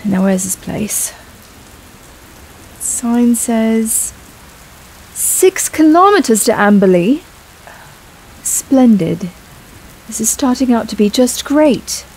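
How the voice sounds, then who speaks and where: A woman's voice: on the high side, animated, slow, pauses often, a little mellow, husky, full character voice, poor audio. A woman playing a weary, irritable young woman traveller in an audio drama, sighing and sarcastic. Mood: exasperated, sarcastic. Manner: exasperated, sarcastic sighing delivery.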